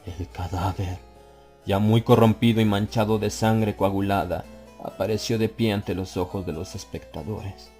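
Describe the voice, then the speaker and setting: A man reading a horror story as an audiobook narrator, theatrical, building tension with swells in pitch and loudness. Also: steady tone, moderate pace, over music. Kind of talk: dramatic scripted narration.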